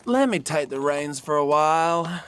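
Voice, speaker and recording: An actor playing a chatty, cocky young fortune seeker in an audio drama, wheedling and stammering when challenged. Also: male voice, a little high, animated, a little slow, fairly bright tone, slight background noise. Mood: complaining.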